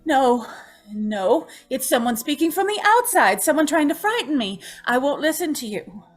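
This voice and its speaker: A woman's voice: high voice, very animated, normal speed, soft background music. A woman playing a fragile, frightened young woman in an old-time radio melodrama, pleading and tremulous. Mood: horrified, disbelieving. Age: young adult.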